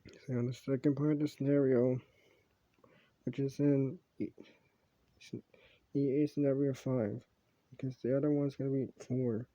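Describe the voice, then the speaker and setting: A man's voice: mid-range voice, little pitch movement, dark tone, no room sound. Rambling amateur male video host, muffled lo-fi sound. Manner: rambling, repetitive, halting. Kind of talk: casual improvised commentary and sign-offs. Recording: dull, lo-fi home recording.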